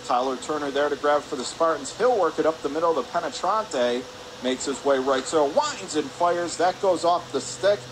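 A man's voice: on the high side, normal speed. A man calling live hockey play-by-play for radio, in clipped, urgent phrases as the action moves. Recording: clear arena noise behind voice. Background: ice rink ambience.

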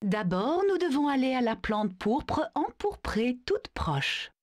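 A woman's voice: high-pitched, very animated, slow, a little mellow, no echo, clean studio sound, exaggerated voice. A woman voicing a genteel, nearsighted older female pollinator who is gracious and doting. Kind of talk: video game dubbing.

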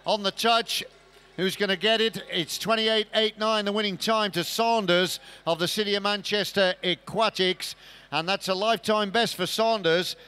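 A man's voice: sits high, fairly even intonation, moderate pace, bright, forceful tone, smooth. A man giving live swimming race commentary as the commentator.

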